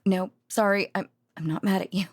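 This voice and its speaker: A woman playing a tired, affectionate researcher girlfriend in an intimate audio roleplay, talking haltingly with sighs and murmured endearments to a sleepy partner. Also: medium pitch, fairly even intonation, no echo, natural voice. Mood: weary, exasperated. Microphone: close, dry studio microphone.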